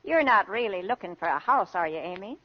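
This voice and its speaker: A woman's voice: mid-range voice, lively intonation, brisk, lightly acted. An actress playing a blunt, scolding, folksy older mother-in-law in a 1930s radio comedy-drama. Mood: nagging. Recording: dull old 1930s radio recording.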